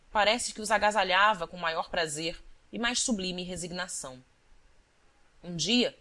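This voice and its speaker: A woman reading scripted literary narration as an audiobook narrator, with a measured, deliberate storytelling delivery. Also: mid-range voice, pushed and bright.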